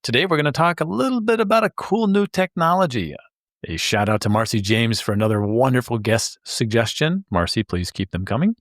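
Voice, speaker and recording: A man's voice: medium pitch, no room sound, clean studio sound. A man hosting an interview podcast, upbeat and enthusiastic in a casual chat, often restarting his words. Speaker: podcast host.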